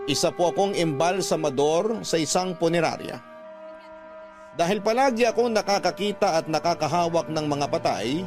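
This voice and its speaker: A man narrates a personal letter as a radio storyteller. His voice is a little high for a man, and he reads in a scripted dramatic style over faint music.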